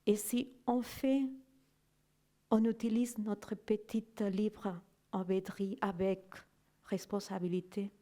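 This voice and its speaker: A woman giving a personal testimony in halting, non-native French, often searching for words and checking in with "non?". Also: medium pitch, steady tone, dark tone. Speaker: guest speaker giving a testimony. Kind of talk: slow reflective personal testimony.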